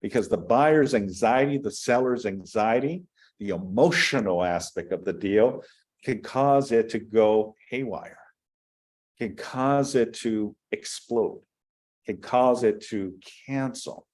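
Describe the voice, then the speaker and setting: A man who works as a real estate broker, giving an energetic short talk to an audience and often turning to them with "right?" and "folks.". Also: lowish voice, takes it slowly. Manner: animated, addresses the crowd with "folks" and "right?".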